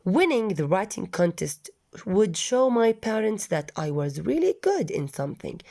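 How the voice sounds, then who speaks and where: A woman English teacher reading a story aloud to her students, pausing to explain words and restate ideas patiently. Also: medium pitch, dark tone.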